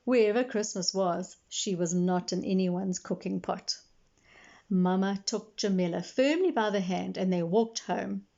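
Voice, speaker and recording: A woman reads a children's storybook aloud with animated expression. Her pitch sits in a middle range for a woman. The recording is somewhat dull and lo-fi. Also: unhurried, creaky. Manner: animated, acts out dialogue. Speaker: storybook reader, librarian. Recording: dull, lo-fi sound.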